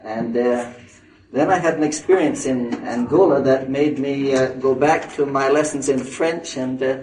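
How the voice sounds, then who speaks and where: An older man, a missionary addressing a conference audience in a halting way, full of fillers and restarts. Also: medium pitch, a little mellow, a little hiss or hum. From Northern Ireland. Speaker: missionary speaker. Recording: roomy hall recording from a distance.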